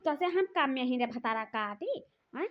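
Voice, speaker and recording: A woman's voice: sits high, animated, normal speed. A woman voicing a quarrelsome, earthy village sister-in-law in a cartoon comedy, scolding and complaining with an Awadhi accent. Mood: furious scolding. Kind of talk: rustic cartoon comedy dialogue. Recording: dull lo-fi sound.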